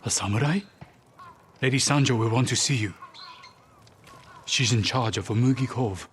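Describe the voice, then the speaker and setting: An actor playing a dutiful guard in a game cutscene. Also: male voice, mid-range voice, moderate pace, fairly bright tone, slight background noise, lightly acted. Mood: deferential. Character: dutiful, challenging guard of a cove. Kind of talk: dramatic game cutscene acting.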